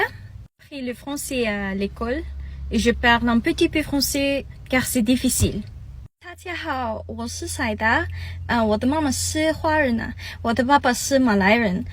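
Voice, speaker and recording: Chatty raised woman, slightly high. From Switzerland. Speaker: vlogger introducing herself. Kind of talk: casual self-introduction to camera.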